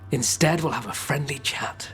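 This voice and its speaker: An actor playing a wry, scheming criminal informant in a video game, bargaining in an informal, sly way. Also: male voice, medium pitch, moderate pace, fairly bright tone, soft background music, lightly acted. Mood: sly, conspiratorial.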